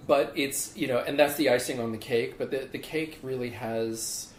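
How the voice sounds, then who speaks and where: A man talking in a relaxed, measured way with many fillers and restarts, as a musician guest in an interview. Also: mid-range voice. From born in South Africa, raised in Philadelphia. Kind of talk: casual interview talk. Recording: echoey room with faint background noise.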